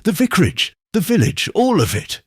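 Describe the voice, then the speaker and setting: Man putting on a lively, teasing young wife, eager, affectionate, raised and bright, husky edge, storybook reading. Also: sits high, moderate pace, no echo, studio quality, voice pushed up, exaggerated voice.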